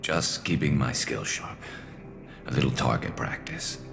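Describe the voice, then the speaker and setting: A man playing a weary, wry alien vigilante sniper in a video game, speaking in a dry, laconic, gravelly way. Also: animated, unhurried, heavily acted. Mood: weary, relieved. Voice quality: gravelly, low. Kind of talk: video game cutscene acting. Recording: music behind the voice.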